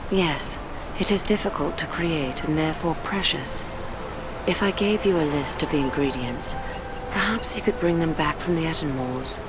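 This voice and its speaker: An actress playing a gentle, solemn elven noblewoman in a fantasy game, speaking in measured, archaic phrases. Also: sits low, very noisy, natural voice. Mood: gracious. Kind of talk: dramatic fantasy video game acting.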